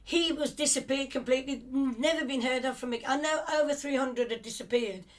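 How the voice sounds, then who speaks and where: An older woman with a high, light voice recalls her family's past at an unhurried conversational pace. She drifts and restarts as memories come back, reflective rather than polished. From England, of Cypriot family. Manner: rambling, reflective storytelling with restarts. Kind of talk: reminiscing conversation.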